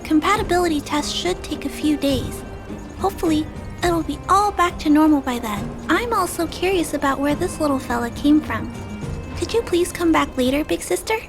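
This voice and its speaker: A woman voicing a gentle, caring young girl in a video game, polite and earnest. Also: high-pitched, lively intonation, normal speed. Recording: game music behind the voice.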